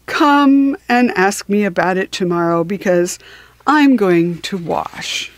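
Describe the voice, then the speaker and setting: A woman voicing a lordly gentleman god in a storybook, formal and commanding. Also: medium pitch, expressive, slow pace, no room sound. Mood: dismissive.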